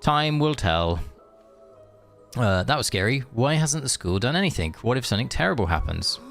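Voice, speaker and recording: A man's voice: medium pitch, sing-song, normal speed, gravelly, a bit bright, faint music behind. A man chatting casually on a game live stream, rambling and answering viewers as he plays. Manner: rambling, chatty, reads chat aloud.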